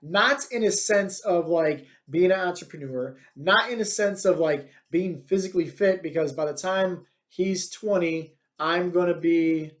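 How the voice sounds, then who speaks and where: A man's voice: high-pitched, unhurried, hardly any pauses. A man in his thirties, a fitness coach hosting his own podcast, talking bluntly and with many fillers in a motivational monologue. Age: 30s. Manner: energetic, filler-heavy, many "like" and "right?".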